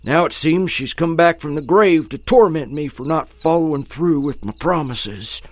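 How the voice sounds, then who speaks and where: An old filling station owner in an audio drama. He is grouchy and superstitious, with old-fashioned folksy phrasing. His voice sits fairly high for a man, and the sound is dry. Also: animated, normal speed, dry recording. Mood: mournful, awed.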